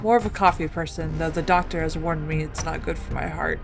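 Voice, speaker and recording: A woman's voice: low voice, steady tone, brisk, vocal fry, over music. A woman voicing a suave, wealthy male banker villain in a game story, polite and unruffled with a condescending air.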